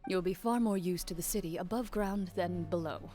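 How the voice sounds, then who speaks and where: A woman playing a stoic, honourable duelist in an anime video game, measured, formal and understated. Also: fairly low pitch, mellow, faint music behind, natural voice. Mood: firm.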